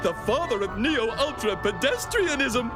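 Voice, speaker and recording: A snobbish, excitable cartoon gallery owner. The voice is very high and lively, pompous and theatrical in every line. Music plays behind it. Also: male voice, unhurried. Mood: grand, gushing.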